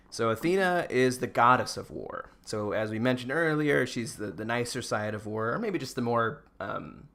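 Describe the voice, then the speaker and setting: A man's voice: medium pitch, a bit bright. A man co-hosting a podcast, giving a casual, joking rundown in an informal, giggly way. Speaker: podcast co-host presenting a rundown. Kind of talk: casual comedic presentation with banter.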